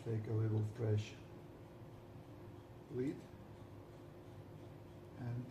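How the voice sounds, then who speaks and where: A man with a Ukrainian accent, whose first language is Ukrainian, narrating a soldering tutorial and pausing to search for words while he works. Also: on the low side, flat delivery, roomy sound, far from the mic, background noise. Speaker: DIY builder.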